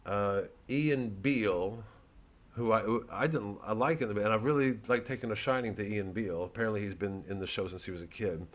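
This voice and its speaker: A man hosting a casual comedy monologue, digressing often, swearing freely and talking in halting, restarted sentences. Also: fairly low pitch. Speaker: comedian, host.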